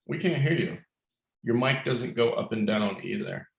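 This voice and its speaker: A man hosting a casual webinar, friendly and rambling, with quick asides. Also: mid-range voice, animated, gravelly, reverberant. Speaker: webinar host. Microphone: video call.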